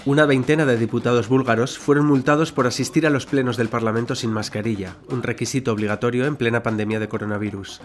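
Spanish guy from reading news items real quick, faint music behind him. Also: medium pitch, steady tone. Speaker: radio presenter. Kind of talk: scripted news-style narration. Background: music bed.

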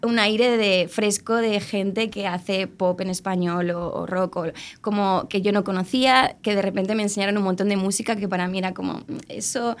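A woman's voice: mid-range voice, fairly even intonation, normal speed, few pauses. Chatty young Spanish woman, rambling. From Spain, Alicante. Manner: chatty, rambling with many fillers and restarts. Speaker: musician guest. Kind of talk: casual interview chat.

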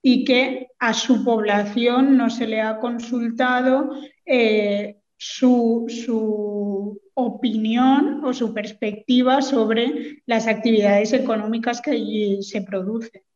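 A woman giving explanatory answers as a political interview guest, speaking in a measured, hesitant way with formal wording. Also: fairly high pitch, fairly even intonation, darkish tone. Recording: reverberant hall, muffled sound.

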